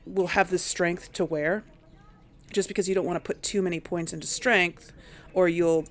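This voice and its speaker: A woman's voice: on the low side, vocal fry. A woman giving casual let's play commentary, talking unhurriedly and thinking aloud as she goes. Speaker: game streamer narrating a role play.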